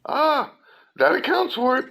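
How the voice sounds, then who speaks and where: A woman's voice: high-pitched, big pitch swings, unhurried, gravelly, noisy background, full character voice. A woman playing an eccentric, touchy tea-party host in a radio play, theatrical and argumentative. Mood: haughty. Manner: theatrical, argumentative, singing off in bursts.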